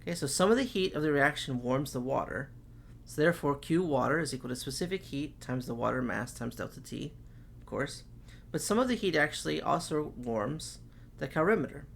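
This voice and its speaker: A man's voice: a little high, lively intonation, brisk, vocal fry, a bit bright, a little hiss or hum. A man teaching chemistry, working through problems step by step and saying okay often, while losing his voice. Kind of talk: recorded classroom lecture.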